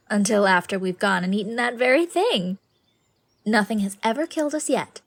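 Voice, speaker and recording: An actress playing a sweet, whimsical middle-aged lady in an audiobook drama, with effusive, playful, theatrical phrasing. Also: mid-range voice. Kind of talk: scripted audiobook drama acting.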